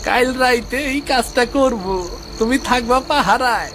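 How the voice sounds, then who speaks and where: A woman's voice: on the high side, normal speed, fairly bright tone, a little hiss or hum, lightly acted. A woman voicing an envious, scheming village neighbour in a cartoon. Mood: sly, scheming. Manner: sly, suspicious. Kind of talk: cartoon dialogue.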